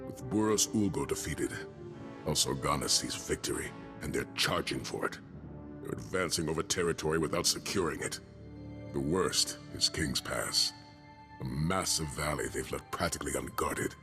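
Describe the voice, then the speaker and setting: An actor playing a stern, seasoned army colonel in a video game, middle-aged, with clipped and authoritative delivery. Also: male voice, rumbling low, normal speed. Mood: grave, commanding. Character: gruff seasoned male army colonel, stern strategic advisor. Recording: music behind the voice.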